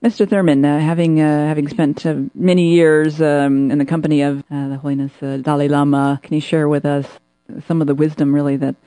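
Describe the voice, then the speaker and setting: Male talk-radio host, mellow and quick, diplomatic, halting short phrases. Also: on the high side, level tone, clear, resonant tone, no room sound. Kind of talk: live talk-radio moderating.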